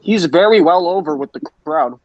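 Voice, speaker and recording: Male podcast host, moderately high register, casual recap style, somewhat muffled lo-fi sound. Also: expressive, moderate pace, darkish tone, no room sound. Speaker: podcast host leading the roundup.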